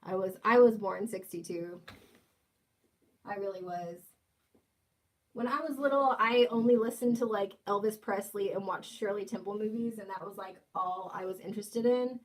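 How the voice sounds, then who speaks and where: A woman's voice: medium pitch, normal speed, rough recording. A woman in her twenties hosting a casual livestream, reading chat comments and joking playfully with viewers. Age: 20s. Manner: playful, teasing, jokey asides. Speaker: livestream host.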